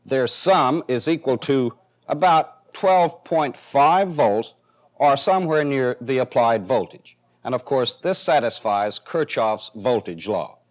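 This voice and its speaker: A man with an American accent giving a methodical, step-by-step technical demonstration as an instructor in a training film. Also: mid-range voice. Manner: methodical, plainly explanatory. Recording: dull, band-limited 1970s film soundtrack.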